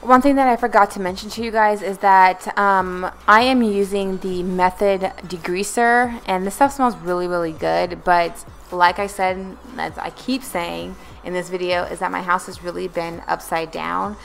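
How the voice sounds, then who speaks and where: A woman's voice: on the low side, steady tone, normal speed, vocal fry, hardly any pauses, soft background music, low-quality recording. A young woman vlogger talking casually and encouragingly in a voice-over about cleaning her home, with many fillers. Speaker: lifestyle vlogger.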